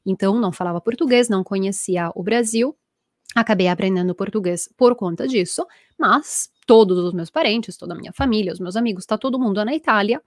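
An Italian woman in her thirties teaches a live online class through an earphone mic, animated and chatty as she reads out viewer comments. Her voice sits at an ordinary middle pitch. The sound is dry and close, with no room echo. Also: fairly quick. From Italy. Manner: lively, reads viewer comments, gestures a lot. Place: small room at home with bookshelves. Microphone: earphone headset mic.

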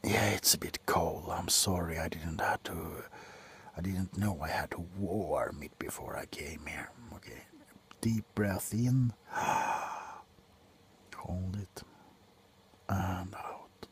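Man acting a slow, low-voiced grumpy drunk doctor. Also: slight background noise. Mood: grumbling, sardonic. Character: grumpy, drunk male doctor on a Christmas house call. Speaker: ASMR performer. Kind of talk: ASMR roleplay, alternating soft talk and whispering. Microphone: very close to the face.

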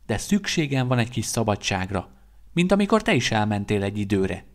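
A man's voice: lowish voice, sing-song. A man voicing a restless young male vampire guard who talks in an earnest, pleading way. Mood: earnest, eager.

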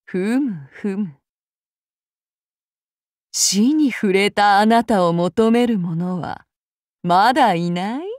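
A woman playing a soft-spoken, menacing female demigod avenger in an anime game, using archaic, formal and polite speech. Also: mid-range voice, expressive, slow, long pauses, no echo, studio quality. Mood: amused, courteous. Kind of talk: anime mobile game voice lines.

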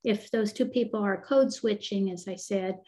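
A woman's voice: medium pitch, normal speed, mellow. An older woman, a psychologist, answering questions on a video call in a thoughtful, explanatory way with frequent fillers and restarts. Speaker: developmental psychologist guest.

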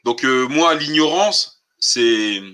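A man's voice: mid-range voice, animated, takes it slowly, bright, forceful tone. A man hosting a political live stream, talking colloquially and insistently, with emphatic rhetorical questions. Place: online group call.

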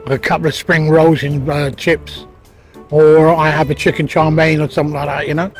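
A man's voice: a little high, flat delivery, normal speed. A man in his sixties chatting casually and unhurriedly in an outdoor street interview. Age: 60s. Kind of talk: casual chat. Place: bench in open city square. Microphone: handheld furry interview mic. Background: music.

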